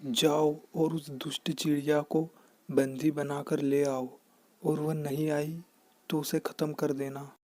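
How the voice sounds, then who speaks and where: A woman's voice: deep voice, flat delivery, slow, mellow, no room sound. A woman voicing an irritable, commanding king who gives harsh orders in a children's cartoon story. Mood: angry, commanding. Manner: imperious, commanding.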